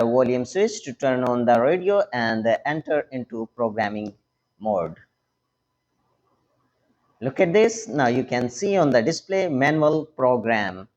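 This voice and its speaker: A man presenting a hands-on, step-by-step technical tutorial, speaking instructionally in short, halting phrases. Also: medium pitch, a little mellow, lo-fi sound. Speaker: tech tutorial host.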